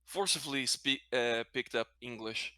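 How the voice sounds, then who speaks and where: A man from Brazil, a native Portuguese speaker, talks with a bright, clean voice as a guest in a relaxed interview. He speaks hesitantly and often corrects himself mid-sentence. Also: mid-range voice, normal speed, dry recording, clean studio sound. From Brazil, later a Spanish-speaking country. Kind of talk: casual conversational interview answers.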